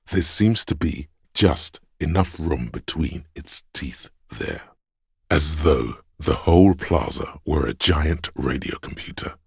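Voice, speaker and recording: A man voicing a pompous, erudite inner voice of artistic imagination in a video game, deliberate and theatrical, with a gravelly, husky voice. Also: extremely low pitch, dry recording, clean studio sound, exaggerated voice. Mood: coaxing, disdainful.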